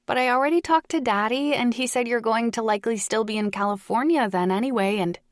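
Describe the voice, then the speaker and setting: A woman's voice: mid-range voice, normal speed, no echo, studio quality, lightly acted. A woman voicing a dramatic, gushing young adult daughter in an audiobook, with an emphatic, youthful delivery. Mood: sheepish, hurried. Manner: whiny, enthusiastic, emphatic. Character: dramatic, gushing college-age daughter on the phone.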